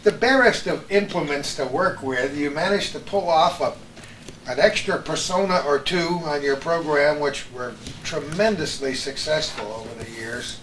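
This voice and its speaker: A man's voice: fairly high pitch, moderate pace, fairly bright tone. An American man hosting a casual, reminiscing chat as interviewer. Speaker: host of a chat show. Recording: roomy, distant mic, faint hiss.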